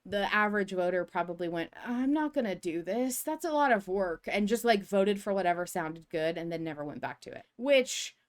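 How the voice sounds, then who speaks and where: A woman co-hosting a casual fan podcast, talking in an animated, emphatic and rambling way with informal language. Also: medium pitch, moderate pace, hardly any pauses. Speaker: podcast co-host.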